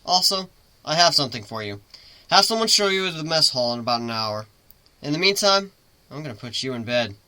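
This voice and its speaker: Man playing a sharp, animated griffin captain. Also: on the high side, fairly quick, dry recording, exaggerated voice. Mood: firm, caring. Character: brash male griffin ship captain, teasing yet caring. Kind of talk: dramatic reading of a fan fiction story.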